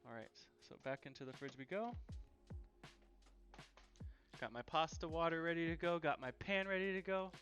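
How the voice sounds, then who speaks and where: A man's voice: a little high, moderate pace, long pauses, fairly bright tone, soft background music. A man hosting a casual live cooking stream from his home kitchen, chatting in an easygoing way and repeating phrases for emphasis. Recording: dull, lo-fi livestream audio.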